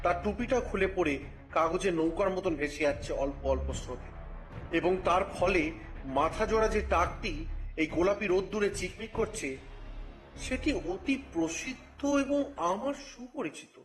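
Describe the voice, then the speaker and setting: A male narrator with a notably high-pitched voice tells a scripted story in the first person. His phrasing is expressive and theatrical. Music runs behind him. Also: unhurried, rough recording.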